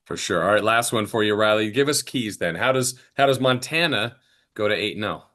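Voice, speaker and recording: A man's voice: lowish voice, animated, fairly quick, a bit bright. A man hosting a sports podcast, interviewing in a conversational style.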